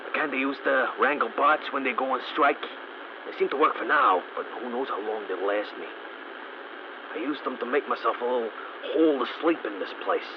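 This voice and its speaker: A man's voice: mid-range voice, gravelly. A man voicing a stranded, weary mechanic who records dry, wisecracking audio log entries. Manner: wry, dry humor. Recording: muffled lo-fi audio log with static noise.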